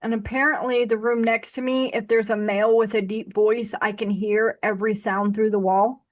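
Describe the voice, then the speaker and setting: A woman's voice: fairly high pitch, level tone, moderate pace. A woman hosting a podcast, talking casually and bluntly, with frequent restarts. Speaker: podcast host. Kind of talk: casual conversation.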